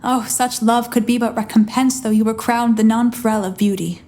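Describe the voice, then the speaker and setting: A woman's voice: fairly high pitch, steady tone, normal speed, a little mellow, close to an everyday voice. A woman playing an ardent, witty young woman disguised as a page in a Shakespearean audio drama, with earnest, courtly declamation. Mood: earnest, passionate.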